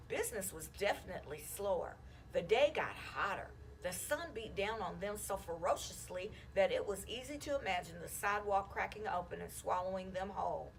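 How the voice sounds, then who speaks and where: A woman reads a storybook aloud to children with a very lively, swooping delivery. Her voice sits at an average pitch, and faint noise runs behind the recording. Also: vocal fry. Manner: animated, acting out the children's dialogue. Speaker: school counselor reading a children's book aloud. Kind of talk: expressive storybook read-aloud.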